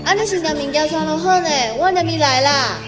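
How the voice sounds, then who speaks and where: A woman's voice: high-pitched, fairly quick, lightly acted. A woman with a Taiwanese accent playing a dutiful, caring young elder sister and daughter in a radio drama. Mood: obliging. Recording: roomy echo, music behind.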